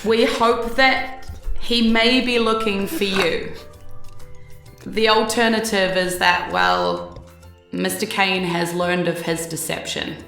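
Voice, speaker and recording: A woman with a New Zealand accent narrating a tabletop game as game master in a relaxed, rambling way with plenty of fillers. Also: on the low side, creaky, roomy sound.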